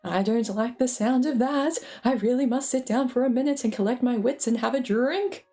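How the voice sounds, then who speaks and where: A woman voicing a fussy, fretful little gentleman hobbit in a storybook reading. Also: a little high, normal speed, distant mic, pitched above the performer's own voice, natural voice. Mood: flustered, fretful.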